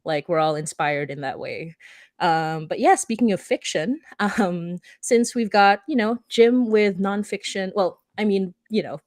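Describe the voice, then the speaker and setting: A woman's voice: mid-range voice, measured pace, darkish tone, dry recording, clean studio sound. A woman hosting a podcast, interviewing guests in a casual, conversational style. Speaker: podcast host.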